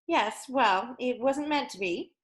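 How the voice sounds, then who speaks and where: A woman's voice: a little high, lively intonation, a little slow. A woman reading a polite, puzzled teacher. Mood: concerned. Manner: polite, questioning. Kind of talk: screenplay table reading.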